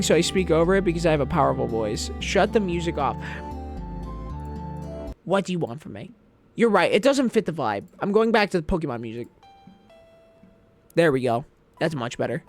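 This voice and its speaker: A chatty woman streamer talks and laughs as she reads aloud, breaking off her own sentences. Her pitch swings widely. Game music plays steadily behind her voice. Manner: chatty, jokey, self-interrupting, laughs often. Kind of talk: casual live-stream chat and manga reading. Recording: background music under voice.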